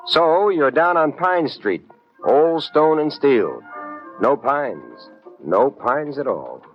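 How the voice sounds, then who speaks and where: Wry hard-boiled private eye, muffled radio sound, music behind. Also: male voice, mid-range voice. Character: wisecracking male private detective narrator. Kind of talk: 1940s radio acting.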